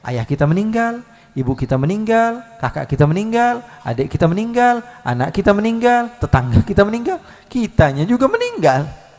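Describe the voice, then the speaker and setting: A male preacher giving a live Islamic sermon, lively, swinging between loud calls and soft asides with many rhetorical questions. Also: high-pitched, brisk, big-room sound. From Indonesia. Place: large hall at a live religious gathering.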